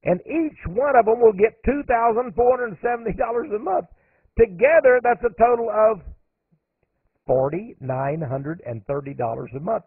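Guy doing a radio talk show, husky voice, tinny phone-line sound, dry. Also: high voice, moderate pace, no room sound. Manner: emphatic, voice climbs sharply on stressed points. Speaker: radio host. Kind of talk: radio monologue.

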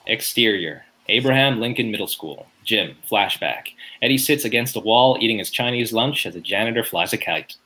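A man's voice: fairly low pitch, expressive, normal speed, hardly any pauses, bright, forceful tone, slight background noise. A man reading out stage directions as scripted narration in a sitcom table read, recorded at home on a video call.

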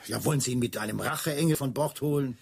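Police chief, radio play, very rough husky tone, impatient, wry, commanding. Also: male voice, medium pitch, fast talker, a little mellow. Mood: brisk, impatient. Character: impatient, sardonic male Scotland Yard police superior. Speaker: radio drama actor. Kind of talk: German radio play dialogue.

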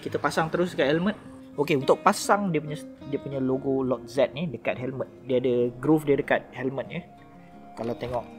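A man in his thirties with a Malaysian accent chats casually through an unboxing. His delivery is informal and slangy, with a mid-pitched voice. He is recorded outdoors on a patio, with clear guitar music behind him. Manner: informal, slangy Malay mixed with English words. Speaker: toy review vlogger. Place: outdoor garden patio of a house. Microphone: camera-style shotgun mic on small tripod at the table.

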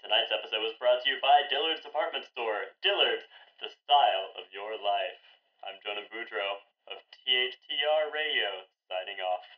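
An actor playing a dramatic old-time radio announcer, speaking in a theatrical, suspenseful way. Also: male voice, fairly low pitch, lively intonation, normal speed, close to an everyday voice. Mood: formal sign-off. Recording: dull, echoey, distant radio-play sound.

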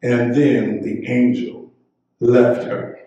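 A middle-aged American man preaching as a pastor in a measured, fervent, declamatory way. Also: medium pitch, fairly even intonation, warm tone, far from the mic, highs cut off. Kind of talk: sermon, scripture reading and prayer. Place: church sanctuary at the pulpit. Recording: echoey church hall, off mic.

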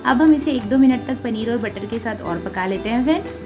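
A woman's voice: a little high, expressive, fairly quick. Indian woman over prominent music, recipe voice-over. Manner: instructional, addresses listeners as friends often. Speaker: home cooking video host. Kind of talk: recipe voice-over, step-by-step instruction. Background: prominent background music.

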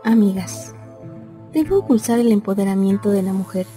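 An actress playing a pensive heroine in a radio soap monologue, putting questions to listeners. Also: female voice, medium pitch, lively intonation, moderate pace, warm tone, soft background music, natural voice. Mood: pondering. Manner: rhetorical, questioning. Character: pensive heroine voicing her dilemma to listeners.

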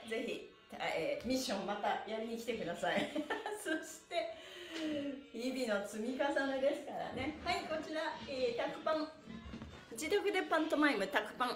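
High-pitched, animated woman leading exercise. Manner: cheerful, encouraging, animated, chanting cues in singsong polite phrases. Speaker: stretching instructor and livestream host. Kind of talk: live exercise class, calling out moves and chatting to viewers. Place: small bare indoor room with wooden floor. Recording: echoey room.

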